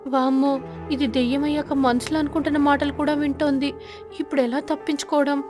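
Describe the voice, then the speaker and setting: A woman voicing a frightened, evasive crow husband in an animated children's story, nervous and earnest. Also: high-pitched, brisk, over music, voice pushed up, natural voice. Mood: panicky.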